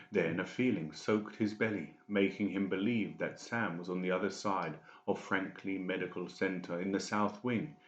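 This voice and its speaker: A man's voice: low voice, roomy sound, dull audio. A man reading scripted, dramatic narration as an audiobook narrator.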